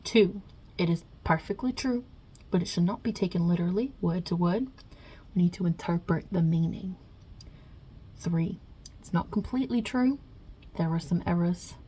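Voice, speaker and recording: Husky woman playing a wisecracking mob-boss God. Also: on the low side, moderate pace, pauses often. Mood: reading out questions. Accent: put-on Italian-American New York. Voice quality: husky, breathy. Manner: casual, wry, chatty, with softly murmured asides. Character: non-binary God as a wisecracking, touchy Italian mob boss. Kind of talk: ASMR roleplay.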